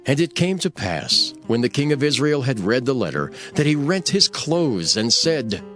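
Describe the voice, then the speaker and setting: A man's voice: mid-range voice, big pitch swings, normal speed, fairly bright tone, soft background music. A man narrating scripture in a dramatized, scripted storytelling style.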